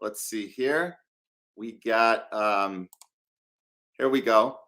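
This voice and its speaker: A man hosting a live show in a conversational, loosely unscripted way, pausing as he gathers his words. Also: on the low side, bright, forceful tone. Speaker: show host.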